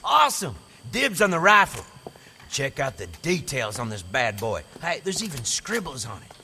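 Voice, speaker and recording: An actor playing a goofy, teasing young man who cracks crude jokes, speaking in a loud, playful way in an audio drama. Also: medium pitch, very animated, normal speed, slight background noise, exaggerated voice. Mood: joking.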